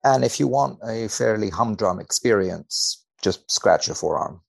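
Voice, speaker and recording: A man speaking as a researcher guest on a podcast, commenting and reading in measured, formal wording. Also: lowish voice, animated, vocal fry, no room sound. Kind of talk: conversational commentary and reading aloud from a study.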